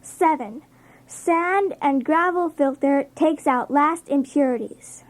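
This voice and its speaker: A woman's voice: sits high, slow. A woman playing a guileless, slightly uncertain young schoolchild in a children's read-along. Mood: reciting.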